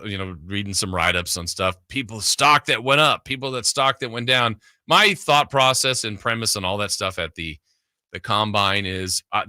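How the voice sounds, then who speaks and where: A man's voice: on the low side, bright, forceful tone, no room sound, studio quality. A man hosting a sports radio show, talking casually and thinking aloud in a conversational way. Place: radio studio. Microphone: close broadcast studio mic.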